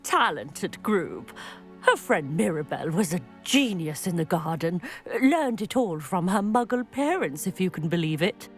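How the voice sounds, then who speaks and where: An older woman voicing a kindly, wistful widowed potion-maker in a video game, chatting gently and fondly. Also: on the low side, big pitch swings, unhurried, soft background music. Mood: fond reminiscing. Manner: gentle, chatty, fond reminiscing. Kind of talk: video game dialogue acting.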